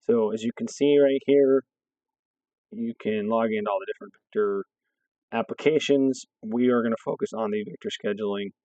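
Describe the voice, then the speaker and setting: A hoarse man from a fire district staff, explaining a scheduling app step by step and checking in with "okay" as he goes. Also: lowish voice, normal speed, warm tone, no room sound, muffled recording. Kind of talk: screen-recorded software walkthrough.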